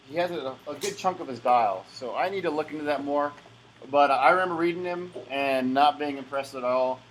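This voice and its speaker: A young man talks through an unboxing in a loose, rambling way, full of "uh"s and restarts. His voice sits a touch high for a man. A faint hum of room ambience runs under his camera-mic recording. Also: low-quality recording. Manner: chatty, rambling, lots of fillers and restarts. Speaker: collectible game unboxer. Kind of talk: casual unboxing commentary.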